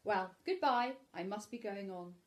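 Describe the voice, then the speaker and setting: A woman's voice: mid-range voice, lively intonation, a little slow, lightly acted. A woman voicing a cheerful, greedy male teddy bear from a children's storybook, bouncy and eager. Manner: sing-song, eager, bouncy.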